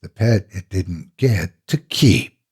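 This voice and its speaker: A man with a husky, gravelly voice narrating a horror story in the first person, dramatically and with deliberate expression. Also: sits low, expressive.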